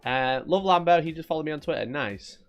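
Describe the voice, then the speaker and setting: A man's voice: a little high, animated, fairly quick, highs cut off, soft background music. A man with a Yorkshire accent, casually chatting at his desk on a live stream, reading viewers' messages, joking, teasing and laughing often. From Northern England.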